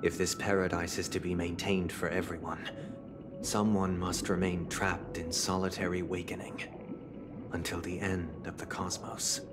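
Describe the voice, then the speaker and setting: Man acting a low, solemn visionary leader. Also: little pitch movement, over music, close to an everyday voice. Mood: solemn, persuasive. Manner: solemn, eloquent, deliberate. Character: calm, grandiose male leader preaching a dream paradise. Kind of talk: video game cutscene acting.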